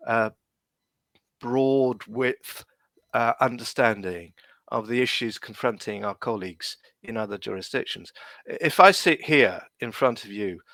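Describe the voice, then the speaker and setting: A man's voice: mid-range voice, measured pace, sharp tone, no room sound. A man, a lawyer and podcast guest, speaking on a video call from London in a reflective, hesitant way with many fillers. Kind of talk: reflective interview answers.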